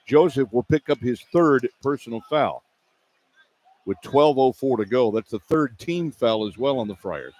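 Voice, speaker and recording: A man's voice: medium pitch, normal speed, a little mellow. A man working as a radio play-by-play announcer, calling a live basketball game from an indoor arena. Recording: clear arena ambience noise. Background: arena ambience.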